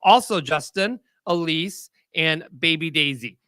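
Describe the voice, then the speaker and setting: A man with a high, bright voice hosts a casual talk show, upbeat and chatty, now and then tripping over guests' names. He speaks close to a studio mic in a dry, dead room. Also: measured pace, no echo. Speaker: podcast host. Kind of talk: casual chat.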